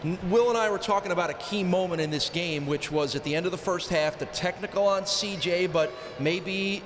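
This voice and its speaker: A man's voice: sits high, slight background noise. A middle-aged man hosting a post-game sports broadcast, interviewing on a handheld microphone courtside. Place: courtside in a large basketball arena. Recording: echoey arena, music behind.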